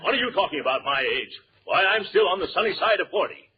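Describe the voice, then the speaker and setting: An actor playing a pompous, easily offended neighbour, flustered and blustering in 1940s radio comedy style. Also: male voice, sits high, sing-song, fast, husky, exaggerated voice. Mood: indignant. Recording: muffled 1940s radio broadcast, static hiss.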